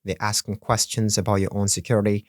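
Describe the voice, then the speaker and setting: A man's voice: fairly low pitch, level tone, fast talker, no room sound, clean studio sound. A man from the Congo whose first language is Swahili, talking earnestly as a podcast guest, often laughing and restarting words. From Democratic Republic of the Congo. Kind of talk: casual interview chat.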